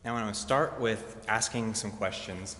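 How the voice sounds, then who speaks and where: A man with a fairly low voice makes a public comment built around questions. He is methodical and checks each point, in an echoey hall with a lingering reverb. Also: moderate pace, sharp tone, slight background noise. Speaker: citizen questioner, trained in law. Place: indoor council chamber.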